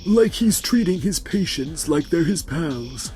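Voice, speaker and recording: A man plays a frank, particular VIP patient in a manga dub. His voice sits high for a man yet stays soft and mellow, measured and formal as he speaks his mind bluntly. Music runs faintly behind him. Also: expressive. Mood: critical, frank. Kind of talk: animated romantic-comedy dub acting.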